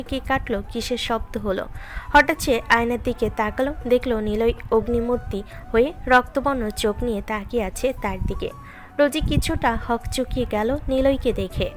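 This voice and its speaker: A woman giving scripted narration of a romantic story. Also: on the high side. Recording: music behind the voice.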